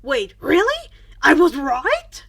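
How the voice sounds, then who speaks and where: A woman voicing an eager, earnest, excitable teenage boy who prizes manliness, in a story reading. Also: sits high, slow, gravelly, exaggerated voice. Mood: eager, excited. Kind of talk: podfic character voice.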